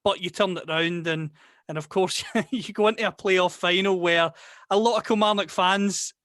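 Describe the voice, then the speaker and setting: A man's voice: sits high, steady tone, moderate pace, a bit bright, no echo, clean studio sound. A Scottish man hosting an interview, asking long chatty questions. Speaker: interviewer, host.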